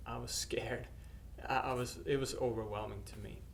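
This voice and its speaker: Middle-aged male missionary from Norway, plain mid pitch, halting testimony with fillers; faint background noise. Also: fairly even intonation, gravelly. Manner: hesitant, many uhs and restarts. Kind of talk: personal testimony to camera.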